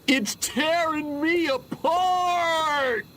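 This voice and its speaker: A man's voice: very high voice, very slow, sharp tone, full character voice. A man voicing a dim, angsty 1950s teenage rebel boy in a video game, sullen and overwrought. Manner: sullen drawl with overwrought outbursts. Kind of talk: video game cutscene acting.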